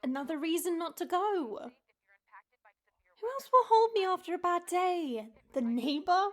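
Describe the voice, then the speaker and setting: High-voiced worried young wife, acted by a woman. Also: animated, a little slow. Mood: teasing. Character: worried, stern yet teasing wife and young mother. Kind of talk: audio roleplay acting.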